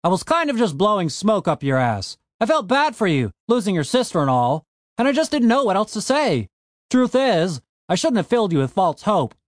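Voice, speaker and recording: A man's voice: high voice, very animated, normal speed, no room sound, studio quality, pitched above the performer's own voice. A man voicing a crippled male gnome innkeeper who talks bluntly and swears a lot. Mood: rueful.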